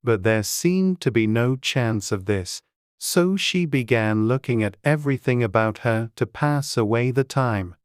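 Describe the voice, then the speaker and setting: A man's voice: mid-range voice, lively intonation, measured pace, smooth, dry recording, studio quality. A man reading scripted storybook narration as an audiobook narrator.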